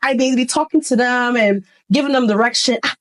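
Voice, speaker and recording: Animated woman with a slightly high voice. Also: a little fast. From United States. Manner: candid and animated, apologetic asides. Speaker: discussion participant. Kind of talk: casual discussion.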